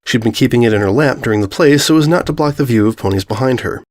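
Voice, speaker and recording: Male storyteller, mid-pitched voice, for scripted fiction narration. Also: fast, darkish tone.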